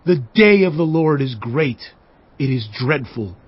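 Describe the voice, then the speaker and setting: Male narrator, fairly high husky voice, earnest declamatory delivery, dramatized scripture, slightly muffled audio. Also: lively intonation, a little slow. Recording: dull lo-fi audio. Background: faint background music.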